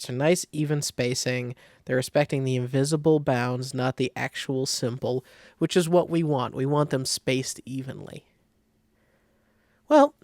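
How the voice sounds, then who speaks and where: A man giving a casual software tutorial, talking informally and thinking aloud as he works, with a creaky, gravelly voice. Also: mid-range voice, dry recording, clean studio sound. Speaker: design software tutorial host. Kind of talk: casual screen-recorded tutorial.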